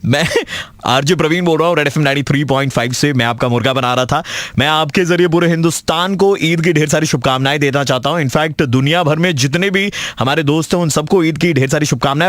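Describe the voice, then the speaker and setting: A man's voice: fairly high pitch, fast talker, fairly bright tone, dry recording. A man hosting a radio prank show, talking in an upbeat presenter style.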